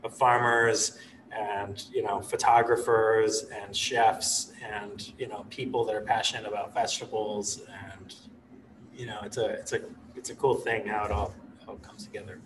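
A man in his thirties, an executive chef, speaking in a relaxed, rambling way on a video call from his living room. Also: on the low side, moderate pace, far from the mic, noisy background. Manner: relaxed, rambling, many "you know" and "like" fillers. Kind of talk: casual interview over video call. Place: living room with a stone fireplace.

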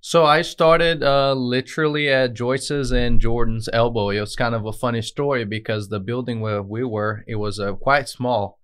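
A man in his thirties from Brazil with a Brazilian Portuguese accent, a guest telling his story in a studio interview with many "uh" pauses. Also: medium pitch, fairly even intonation, a bit bright, clean tone. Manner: earnest, with frequent "uh" and "um" pauses. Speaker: guest, dispatch manager. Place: podcast studio with branded backdrop. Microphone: desk podcast mic on a stand.

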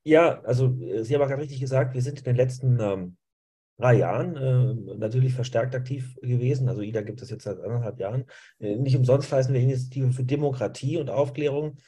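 A middle-aged man talks earnestly on a video call, filling his pauses with ums and ahs. His voice is husky and mellow, at an ordinary male pitch, picked up by a home webcam mic. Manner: earnest, with frequent fillers. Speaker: activist guest. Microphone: laptop or webcam mic on a video call.

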